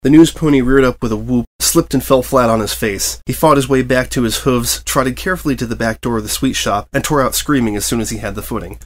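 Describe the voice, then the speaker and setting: A man reading fan fiction as narrator, in an expressive scripted storytelling style. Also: mid-range voice, fast talker, few pauses.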